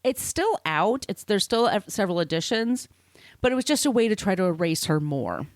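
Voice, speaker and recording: A woman's voice: medium pitch, big pitch swings, fairly quick, no room sound. A woman podcast host telling a story in casual chat, informal and sweary, with conversational asides.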